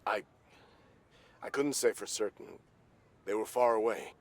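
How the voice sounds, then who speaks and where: An actor playing a puzzled, low-key townsman in a video game, with a hesitant, musing manner. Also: a little slow, close to an everyday voice.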